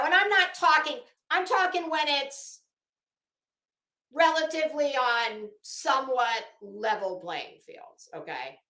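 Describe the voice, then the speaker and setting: Slow-paced woman livestreamer in an echoey room. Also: mid-range voice, bright, forceful tone. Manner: rambling, opinionated, emphatic. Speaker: livestream host. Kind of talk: casual livestream chat with viewers.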